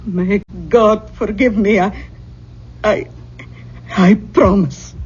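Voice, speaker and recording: An actress playing a kindly, anxious middle-aged spirit medium aunt with a Scottish accent in an old-time radio drama. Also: female voice, mid-range voice, fairly even intonation, slow pace, darkish tone, husky. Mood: alarmed, reluctant. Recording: muffled old radio, music underneath.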